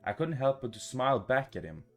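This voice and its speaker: A man's voice: mid-range voice, big pitch swings, brisk. A man reading a visual novel aloud as a gaming YouTuber, stumbling over words now and then and adding his reactions. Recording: music behind the voice.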